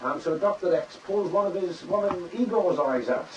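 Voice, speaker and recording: A man's voice: high voice, normal speed, distant mic, muffled recording, very noisy. A man interviewing guests as a local radio host.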